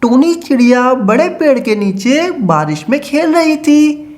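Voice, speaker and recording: A woman narrator with a high voice tells a children's story. She reads scripted narration for an animated bedtime tale. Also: a little slow.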